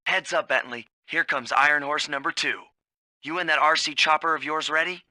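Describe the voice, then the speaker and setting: Smooth-talking, dry-recorded cartoon thief. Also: male voice, on the high side, steady tone, moderate pace, bright, forceful tone, no room sound. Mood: cool, confident. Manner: wry, unflappable, casual. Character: suave, laid-back, wisecracking young male animal thief. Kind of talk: cartoon video game voice acting.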